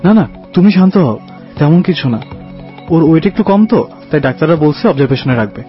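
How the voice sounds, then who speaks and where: A man's voice: on the high side, expressive, quick pace, natural voice. An actor playing a caring young husband and father who reassures and soothes despite his worry, in an audio drama. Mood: reassuring. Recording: dull lo-fi audio, faint music.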